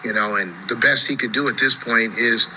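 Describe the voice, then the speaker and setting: A man's voice: mid-range voice, a little fast, pushed and bright, slight background noise, rough recording. An American man giving conversational legal commentary as an attorney, explaining things with frequent asides of "you know".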